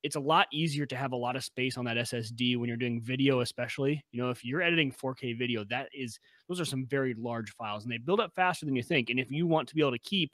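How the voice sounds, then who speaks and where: A young man co-hosting a casual tech podcast, explaining eagerly over a video call on a boom-arm studio mic in a home workshop. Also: a bit bright, no room sound. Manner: quick, enthusiastic explaining. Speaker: podcast co-host. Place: home workshop room with pegboard.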